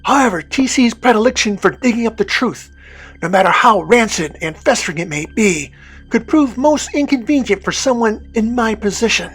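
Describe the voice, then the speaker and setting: A woman's voice: fairly high pitch, lively intonation, moderate pace, husky, soft background music, low-quality recording. A woman playing an imperious, condescending Prince of Darkness in a scripted comedy podcast skit. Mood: stern, condescending. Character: haughty, scornful Prince of Darkness, demanding master.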